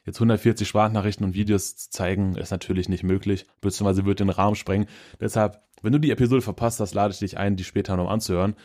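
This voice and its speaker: Chatty low-voiced man hosting a podcast. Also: steady tone, fast, a little mellow. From Germany. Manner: chatty, loose and upbeat, many fillers. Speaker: podcast host. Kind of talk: casual solo podcast chat. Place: his office.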